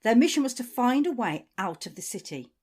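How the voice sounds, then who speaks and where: A high-pitched, highly animated woman leader played by an actress in an audio drama. She speaks with authority, confiding one moment and ruthless the next, her pitch swinging widely. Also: fairly quick, breathy edge. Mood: businesslike, commanding. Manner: authoritative, swearing, shifts from supportive to domineering. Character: commanding female community leader, confiding yet ruthless.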